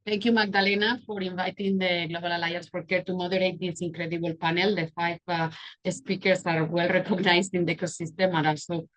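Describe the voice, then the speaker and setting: Lowish-voiced woman moderating an online panel, lots of uhs and restarts, video call audio. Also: flat delivery, fairly quick, low-quality recording. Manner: hesitant, many fillers and restarts.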